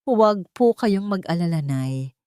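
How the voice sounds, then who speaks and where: A woman voicing a devoted young maid, gentle and pleading, in an audio drama story. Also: fairly low pitch, lively intonation, a little slow, clear, resonant tone, no echo, clean studio sound. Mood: tender, reassuring.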